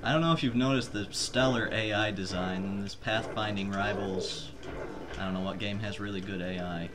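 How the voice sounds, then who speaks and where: Lowish-voiced sarcastic man commenting on gameplay. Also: moderate pace. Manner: sarcastic, profane. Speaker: video game let's player. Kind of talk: casual joking group gameplay commentary.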